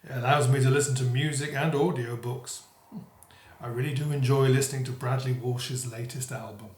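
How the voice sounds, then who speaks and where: A man's voice: medium pitch, steady tone, moderate pace, a little mellow, lightly acted. Kindly retired police sergeant, elderly played by a male actor, modest and hesitant, audio drama, echoing room. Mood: friendly.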